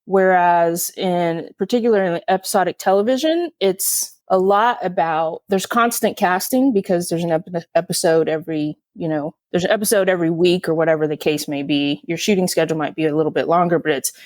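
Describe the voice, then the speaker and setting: A woman guest in an interview, explaining things in a measured, analytical way. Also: lowish voice, no room sound. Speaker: film and television producer, interview guest.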